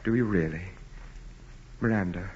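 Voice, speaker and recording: Actor as a low-voiced brooding aristocrat. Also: male voice, moderate pace, a little mellow, natural voice. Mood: intense, insistent. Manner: formal, grave, insistent. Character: wealthy aristocratic landowner, commanding, brooding, intense suitor. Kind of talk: 1940s radio acting. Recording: muffled, noisy old radio broadcast.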